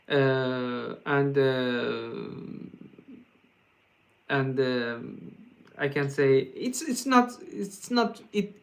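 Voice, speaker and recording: A man from Iran with a Persian accent, hosting a guitar livestream and answering viewer questions in a casual, halting way, full of fillers and restarts. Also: medium pitch, creaky. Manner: laughs often, joking.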